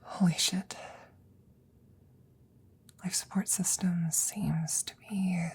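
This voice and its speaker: A woman performs a tender, anxious female scientist in a cyberpunk audio drama. Her voice is low and soft-toned, with a husky, breathy whisper and some creak. She speaks haltingly, muttering and repeating herself as she nervously tends to her best friend. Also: level tone, full character voice. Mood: shaken, anxious.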